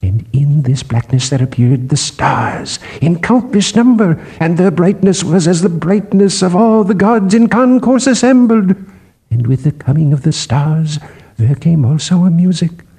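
A man's voice: high-pitched, dark tone, slightly rough, full character voice. A man voicing a fervent apostle who declaims doomsday scripture in archaic, sing-song tones for a storybook.

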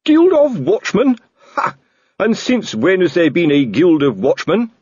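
A man voicing a pompous, blustering middle-aged male watch captain who shouts and splutters indignantly. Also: medium pitch, lively intonation, moderate pace, husky, no echo, dull audio, voice pushed up.

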